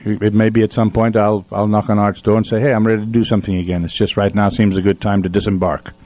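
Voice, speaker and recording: A man's voice: lowish voice, little pitch movement, quick pace, a little mellow. A man hosting a radio show from the studio, chatting casually and sentimentally. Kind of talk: casual, sentimental farewell chat.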